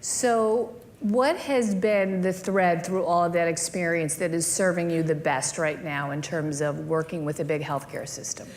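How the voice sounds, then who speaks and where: A woman's voice: fairly low pitch, fairly even intonation, unhurried, hardly any pauses, a little hiss or hum. Chatty woman interviewer on a panel.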